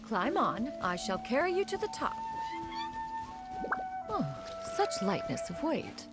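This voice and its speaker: A woman's voice: sits high, big pitch swings, slow, loud music behind the voice, exaggerated voice. Stately immortal lady, sweeping intonation. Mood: stately, solicitous. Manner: formal, archaic, stately. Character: dignified, caring adept woman, lofty and self-assured. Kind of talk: video game voice acting.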